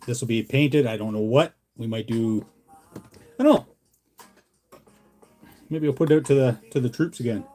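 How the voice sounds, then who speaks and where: A man's voice: mid-range voice, normal speed, long pauses, warm tone, faint music behind. A Canadian man hosting a casual livestream, rambling in a laid-back, folksy way as he chats with viewers. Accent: Canadian English. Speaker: livestream host, hobbyist lure maker. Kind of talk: casual chat with live viewers.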